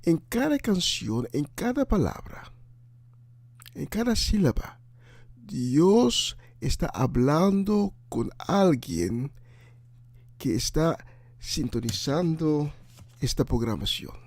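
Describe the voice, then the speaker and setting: Animated, higher-voiced man preaching on air. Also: no echo. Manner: halting and emphatic, with repetition. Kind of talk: informal on-air preaching and greetings.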